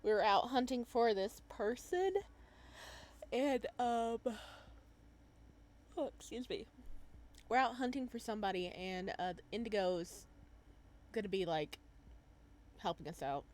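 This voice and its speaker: A woman hosting a gaming video, chatting casually and reading game text aloud, moving between calm narration and excitable, playful reactions. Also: medium pitch, a little slow, pauses often.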